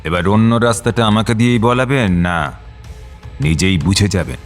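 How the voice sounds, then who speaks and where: An actor playing a short-tempered, threatening timber contractor in an audio drama, with a snarling, contemptuous manner. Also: male voice, fairly low pitch, normal speed, faint music behind, natural voice. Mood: quiet menace.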